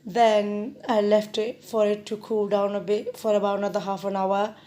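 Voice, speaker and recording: A young woman narrating a home cooking video in a relaxed, chatty way touched by roots and lots of casual fillers. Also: medium pitch, normal speed.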